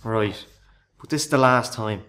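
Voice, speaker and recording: A man's voice: lowish voice, unhurried, pushed and bright. An actor playing a reluctant, worried Irish workman and hesitant accomplice in a radio play. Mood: uneasy, reluctant. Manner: doubtful, worried.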